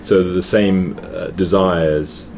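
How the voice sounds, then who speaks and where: A man's voice: deep voice, steady tone, slow pace, a crowd in the background. A middle-aged Englishman with an English accent, a guest in casual chat, telling stories with frequent restarts in a noisy hotel. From England. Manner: storytelling, restarts with repeated words.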